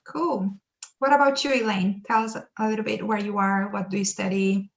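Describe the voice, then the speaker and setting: A woman's voice: medium pitch, fairly even intonation, normal speed. A woman hosting a casual online chat, welcoming guests with frequent "uh" fillers over a video call. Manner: friendly, filler-heavy welcoming. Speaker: host, staff worker. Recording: echoey, slightly dull video call.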